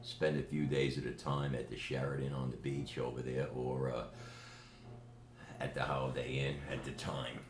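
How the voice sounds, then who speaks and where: Very deep-voiced boastful New York man. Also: little pitch movement. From New York City. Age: older. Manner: boastful, emphatic, slow deliberate delivery. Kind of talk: direct-to-camera video message. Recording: echoey room with faint ambient noise.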